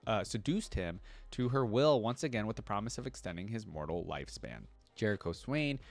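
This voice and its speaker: A man hosting a reaction video, reading character lore aloud and breaking off into casual, excited comments. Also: on the low side, no echo. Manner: reads wiki text then breaks into excited asides. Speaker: reaction channel host.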